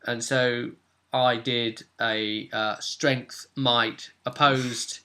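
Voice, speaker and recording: A man's voice: mid-range voice, little pitch movement, very slow, pushed and bright. A man in casual conversation, recapping a tabletop roleplaying session as game master and explaining things chattily, thinking aloud.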